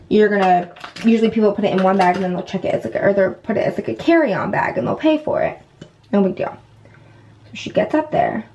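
A woman's voice: medium pitch, darkish tone, a little hiss or hum, poor audio. A woman in her twenties chatting casually to camera like a vlogger, telling a story informally and peppering it with "like" and "whatever.". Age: 20s. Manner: chatty, heavy use of "like" and "whatever". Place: indoors at home, bathroom. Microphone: camera at about arm's length.

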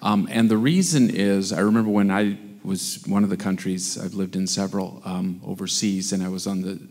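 A man in his sixties from Canada, speaking from an audience in a halting, searching way. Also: low-pitched, fairly even intonation, a little mellow, long reverb tail. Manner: hesitant, searching, many fillers and restarts. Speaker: audience member. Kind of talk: comment from the floor. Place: seated in a large auditorium audience. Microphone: handheld mic.

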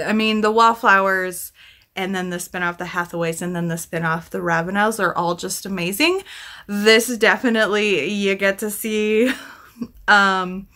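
A woman's voice: medium pitch, pushed and bright. A woman in her thirties chatting casually to camera as a book vlogger, rambling and correcting herself as she thinks aloud. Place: small room at home, indoors.

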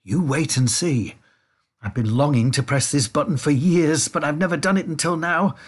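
A man voicing an excitable, eccentric gentleman factory owner in a storybook reading, gushing and repeating his exclamations. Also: on the high side, moderate pace, voice pushed up, lightly acted. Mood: eager, excited.

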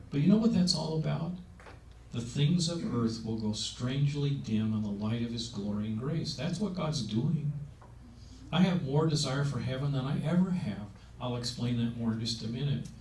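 A man's voice: medium pitch, darkish tone. A seventy-year-old man giving an emphatic, conversational sermon-style talk on aging into a handheld mic in a meeting room, asking his listeners rhetorical questions. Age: 70s. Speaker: speaker on aging. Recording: roomy sound from a distance with faint noise.

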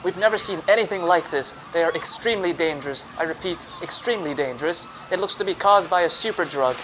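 A man voicing a police radio dispatcher making an urgent, rapid alarm call. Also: on the high side, background noise, pitched above the performer's own voice, natural voice.

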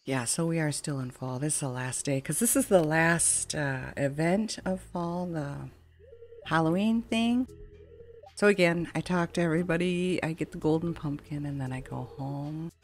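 A woman's voice: sits low, normal speed, a little hiss or hum. A woman giving casual, chatty, rambling let's play commentary as a gaming video host. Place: at home, window open a crack, fan running. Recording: game music behind the voice. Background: game music.

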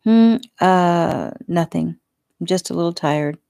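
A woman narrator voicing a weary, earnest young man in an audiobook, halting and placating in manner. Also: on the low side, dark tone, no room sound, studio quality. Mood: weary, reluctant.

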